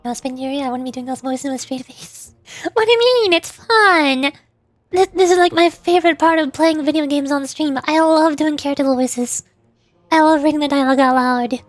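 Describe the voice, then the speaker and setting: Bubbly high-voiced woman streamer. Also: normal speed, no echo. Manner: bubbly, chatty, playful. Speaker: VTuber streamer. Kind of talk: casual live-stream gameplay chat. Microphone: desk microphone.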